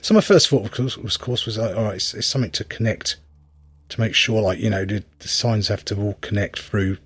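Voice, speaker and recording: A man's voice: fairly low pitch, fairly quick, a bit bright, husky, dry recording. A man giving casual gameplay commentary, rambling wryly as he thinks aloud while playing. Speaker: game reviewer and player.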